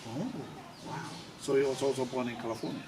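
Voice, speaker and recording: A woman's voice: extremely low pitch, fairly even intonation, quick pace. A woman interviewing casually, often repeating her phrases and murmuring agreement. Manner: repeats phrases, frequent backchannels. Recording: distant mic, loud ambient noise.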